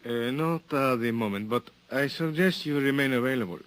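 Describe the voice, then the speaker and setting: An actor playing a formal, stern police lieutenant with a Mexican accent in an old time radio drama, stiffly courteous. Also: male voice, medium pitch, a little fast, background noise, lightly acted. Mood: warning. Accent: Mexican Spanish.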